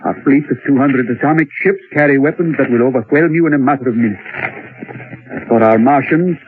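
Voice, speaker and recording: Actor playing a coolly superior Martian, tinny old radio. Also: male voice, mid-range voice, lightly acted. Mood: smugly confident. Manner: formal, measured, condescending. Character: coolly polite, superior Martian invasion leader. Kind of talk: old radio drama acting. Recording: tinny, faintly noisy old radio recording.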